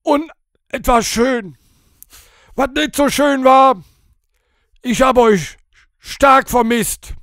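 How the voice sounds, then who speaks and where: A brash male podcast character with an extremely high voice. He banters in a crude, blunt, deadpan way, recorded very dry. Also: extremely slow, long pauses, no room sound, full character voice. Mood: deadpan, cocky.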